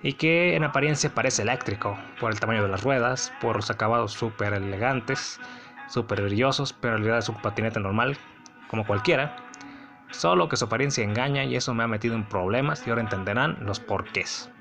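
A man's voice: medium pitch, fairly quick, music in the background. A man with a Mexican accent hosting a casual solo podcast, telling a personal story in a rambling, sardonic, blunt way. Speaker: podcast host.

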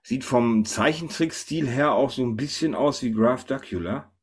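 A man's voice: mid-range voice, normal speed, breathy edge. A man hosting a casual panel chat, thinking aloud and often tossing questions to the others. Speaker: podcast host.

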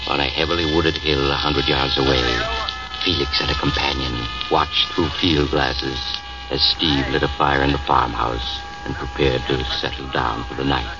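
A man with a very deep voice tells the story in a measured, ominous tone. His narration sits a little back from the mic in a dull old radio recording. Loud music plays underneath. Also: little pitch movement, recorded from a distance. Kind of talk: scripted narration for radio drama.

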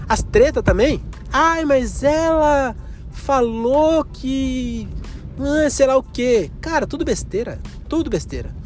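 High-voiced joking podcaster with a cold. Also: male voice, darkish tone. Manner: rambling, joking, crude slang. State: has a cold, coughing. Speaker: podcast host. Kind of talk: solo comedic podcast monologue.

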